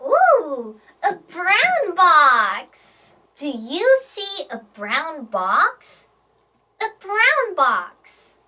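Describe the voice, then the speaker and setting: Woman, children's storytime host, very slow, bright, animated, sing-song teaching style, repeats words for learners. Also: very high voice. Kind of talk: playful children's English lesson, exaggerated storytelling.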